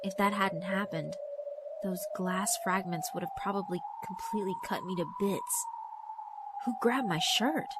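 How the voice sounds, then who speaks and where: A woman voicing a somber, resigned young student who narrates a horror story in a grave, measured way. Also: mid-range voice, heavy background noise, lightly acted. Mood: grave, tense. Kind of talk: scripted first-person horror narration.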